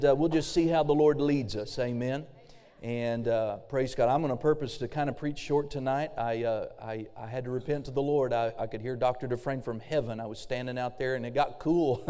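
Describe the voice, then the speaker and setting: A middle-aged male pastor preaching a fervent sermon with frequent amens, his voice slightly hoarse and a little raspy. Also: mid-range voice, warm tone. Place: church sanctuary. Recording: long hall reverb.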